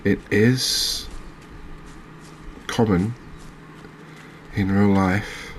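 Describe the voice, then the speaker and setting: A man giving soft-spoken, relaxed ASMR-style game commentary in a hesitant, musing, understated way, as a gaming video creator. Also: fairly low pitch, drawn-out words, a little hiss or hum.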